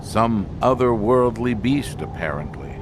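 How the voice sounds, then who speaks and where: An actor playing a grave expedition scientist in an audio drama, speaking deliberately with weighted, halting pauses. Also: male voice, medium pitch, expressive, background noise, close to an everyday voice. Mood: grave, haunted. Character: grave male expedition scientist explaining an alien menace.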